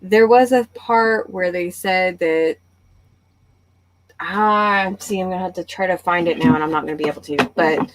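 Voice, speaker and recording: A woman's voice: fairly low pitch, moderate pace, poor audio. A woman hosting a casual book club discussion, talking conversationally and thinking aloud as she goes.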